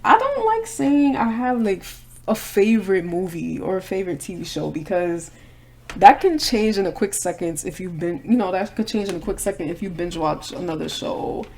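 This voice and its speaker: A woman's voice: medium pitch, expressive, normal speed, a little mellow. A woman, chatting casually and candidly while doing her makeup. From Brooklyn, New York. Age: 20s. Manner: chatty, candid, slangy asides. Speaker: vlogger.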